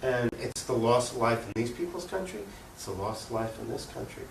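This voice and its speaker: A man's voice: medium pitch, steady tone, fairly quick, vocal fry. Emphatic man arguing politics, distant and echoey. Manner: opinionated, emphatic, poses rhetorical questions. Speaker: interview guest, political activist. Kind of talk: unscripted political argument in an interview. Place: room recording for a cable access show. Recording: echoey room, distant mic, faint noise.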